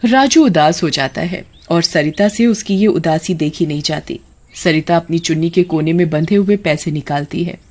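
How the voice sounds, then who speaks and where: A woman's voice: low voice, moderate pace, darkish tone. Woman narrating a scripted moral story.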